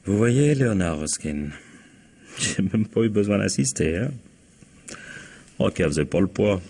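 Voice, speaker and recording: Radio mystery inspector: low, husky, shrewd and self-assured, laying out his case with measured, probing confidence. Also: animated, exaggerated voice. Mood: probing, satisfied. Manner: measured, probing, ends points with "hein?", quietly triumphant. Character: shrewd, self-assured male police inspector explaining a crime. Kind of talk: French mystery radio play acting.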